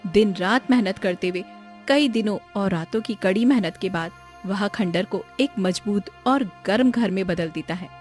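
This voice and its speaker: A woman's voice: medium pitch, normal speed, a little mellow. A woman reading a scripted moral story for children as its narrator. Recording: music behind the voice. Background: background music.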